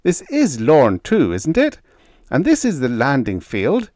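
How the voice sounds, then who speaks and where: An indignant senior colonial officer from a science fiction audiobook, acted out by a man. His voice is pushed up high and swings widely in pitch, blustering and demanding with official self-importance. The sound is close and dry. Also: wildly swooping pitch, unhurried, darkish tone, dry recording. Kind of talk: audiobook character dialogue.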